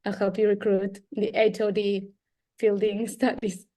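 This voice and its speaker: A woman's voice: mid-range voice, flat delivery, unhurried, dark tone. A woman from Argentina with an Argentine Spanish accent and Spanish as her first language, giving an earnest comment as a doctoral student, searching for words. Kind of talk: audience comment.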